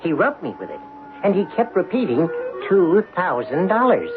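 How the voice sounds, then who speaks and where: Talking coin storyteller: knowing, highish, animated, over music on vintage radio. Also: male voice, moderate pace. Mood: wry. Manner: wry, descriptive, knowing. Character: talking Mercury dime, wry, observant storyteller. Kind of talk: radio drama first-person narration. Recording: tinny old radio broadcast.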